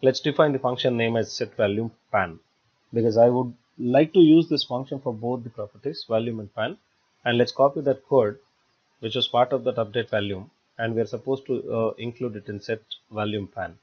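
Dull-sounding man teaching software. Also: mid-range voice, normal speed, husky. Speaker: software tutorial instructor. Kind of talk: step-by-step screencast tutorial narration. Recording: dull lo-fi voice-over recording.

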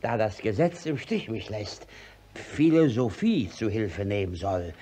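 Flustered, stammering village judge. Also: male voice, fairly low pitch, expressive, a little slow, warm tone, breathy edge. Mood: slippery, coaxing. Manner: stammering, hemming and hawing. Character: flustered, evasive village judge, bumbling and scheming. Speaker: actor in a radio play. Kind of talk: 1950s German radio drama acting.

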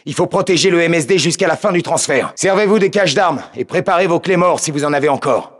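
An actor playing a gritty, commanding special-forces lieutenant in a video game, giving terse, clipped orders. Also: male voice, a little high, quick pace, close to an everyday voice. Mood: controlled, stern. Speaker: squad leader. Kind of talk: video game combat voicelines, French dub.

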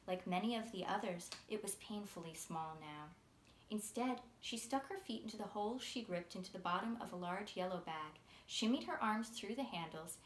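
A woman in her thirties reading a story aloud as its author, in a measured, clearly enunciated way. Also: mid-range voice. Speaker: author reading from own book. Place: small furnished room indoors. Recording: roomy sound with faint noise.

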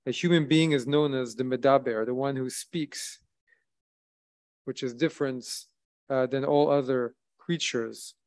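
A man in his thirties, a rabbi, delivering a sermon from a synagogue lectern with measured, solemn emphasis. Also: medium pitch, darkish tone. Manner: measured, solemn preaching with rising emphasis on key phrases. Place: synagogue sanctuary at a wooden lectern, indoors. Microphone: lectern microphone, streamed by video.

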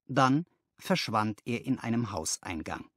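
A man narrating a literary story as an audiobook, with expressive delivery and careful phrasing. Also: mid-range voice, measured pace, no room sound, studio quality. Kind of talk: scripted dramatic literary narration.

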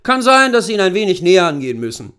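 A male narrator acts out a seasoned hunting guide from a storybook. He is gruff and laconic, snapping out short orders. The voice sits high and swings up and down energetically. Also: very animated, quick pace, a bit bright. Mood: brisk, commanding. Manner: clipped, terse, commanding.

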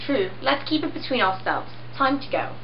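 A young woman quietly reading dialogue for a home puppet show of a classic play. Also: high-pitched, expressive, normal speed, lo-fi sound, slight background noise. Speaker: amateur sock-puppet performer. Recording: echoey room with music.

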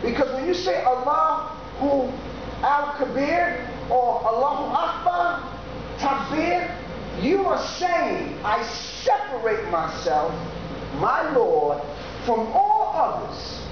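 A preacher giving an informal sermon, talking slowly and conversationally with frequent "yeah" and "you know". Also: sits high. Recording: echoey, dull recording with steady background noise.

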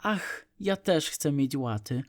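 A man's voice: fairly high pitch, animated, slow, dry recording, studio quality. A man voicing a sad, wistful young female cow who speaks in a plaintive, exclaiming way. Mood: wistful complaining.

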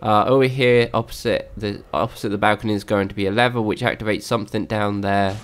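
A man's voice: on the low side, fairly even intonation, fast, slight background noise. A young man with a slightly worn voice, casually narrating a game walkthrough with many fillers and restarts. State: slightly lost voice.

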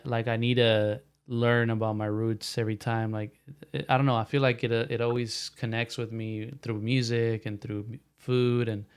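A young man from San Jose chats easily on a podcast, sprinkling Spanish words into relaxed, slangy English. His voice is smooth and resonant and stays quite level in pitch. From raised in San Jose, California. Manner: laid-back, slangy, mixes Spanish phrases into English. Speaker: podcast guest, musician. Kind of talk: casual conversation.

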